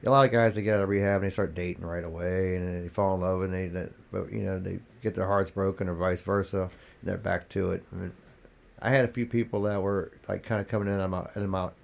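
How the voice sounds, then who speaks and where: A man with a low, gravelly voice tells his story as a podcast guest, congested and coughing now and then. His talk is candid and loose, full of restarts. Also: steady tone, fast talker. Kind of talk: casual interview talk.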